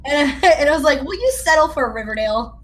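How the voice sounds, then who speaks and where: A woman's voice: high voice, lively intonation, fairly quick, a bit bright. A woman from South Carolina with an American accent, chatting casually in a playful, self-deprecating, joking way. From South Carolina, United States. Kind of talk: casual chat.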